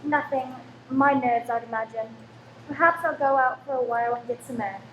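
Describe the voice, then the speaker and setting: A woman acts an anxious, protective mother in a radio play. She is high-pitched, flustered and eager to appease. The echoey room has a slight noise in it. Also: normal speed, muffled recording, close to an everyday voice. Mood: worried. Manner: hesitant, nervous, appeasing.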